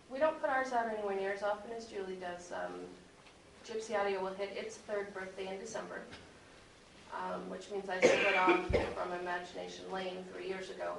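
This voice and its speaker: A woman's voice: medium pitch, creaky, bright, forceful tone. A woman speaking informally as a panelist on a convention panel about her work as a producer and voice actor. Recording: echoey room, distant mic, faint noise.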